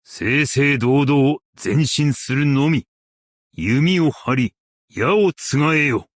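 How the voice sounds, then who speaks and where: A man playing a principled, stern yet merciful scholar-strategist in a game, speaking in a formal, archaic and measured way. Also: medium pitch, expressive, dry recording, studio quality. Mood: commanding, resolute. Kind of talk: Japanese game character voice lines.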